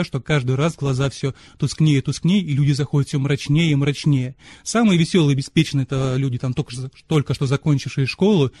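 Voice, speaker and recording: A man's voice: medium pitch, dark tone, dry recording. A man appearing as a podcast guest, speaking in a friendly, expansive way and telling stories at length. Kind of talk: casual podcast conversation. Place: remote call from Moscow.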